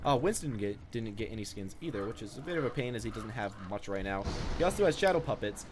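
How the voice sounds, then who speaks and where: A man's voice: on the low side, expressive, fast. A young man giving casual, off-the-cuff commentary as he shows off items in a video game. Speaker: gaming video creator. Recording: noticeable background noise.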